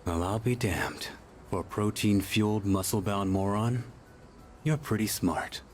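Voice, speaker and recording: An actor playing a brooding, blunt delinquent high school boy in a video game, gruff and curt. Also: measured pace, faint music behind, natural voice. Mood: wry.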